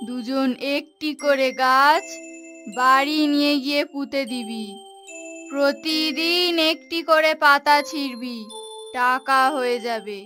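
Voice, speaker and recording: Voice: sits high, slow, pushed and bright, faint music behind, full character voice. A performer voicing a solemn divine spirit who speaks in grand, commanding pronouncements in a fairy-tale cartoon. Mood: solemn, benevolent. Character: solemn divine dream spirit giving blessing and warning.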